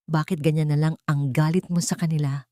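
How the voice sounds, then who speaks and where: A woman voicing a troubled young man who speaks low and urgently, pressing for answers. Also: steady tone, fairly quick, dark tone, no echo, clean studio sound, natural voice. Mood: questioning. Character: troubled young adult man seeking truth.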